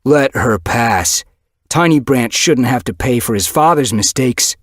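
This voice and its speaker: A man voicing a steady tom cat who speaks slowly and firmly. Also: mid-range voice, no room sound, studio quality, pitched above the performer's own voice, close to an everyday voice.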